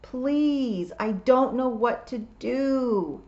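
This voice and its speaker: An earnest little girl learning to be a witch, voiced by a woman reader. She sounds frustrated and questioning, with lively, rising and falling pitch. The storybook recording is a bit dull. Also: unhurried. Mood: pleading. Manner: plaintive, questioning, insistent.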